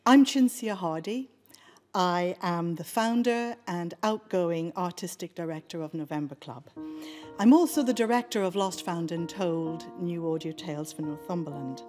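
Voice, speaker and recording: A woman's voice: on the low side, moderate pace, darkish tone, soft background music. A woman who is an artistic director, speaking casually as she hosts a panel discussion. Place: book-lined library room, seated. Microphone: microphone on a stand.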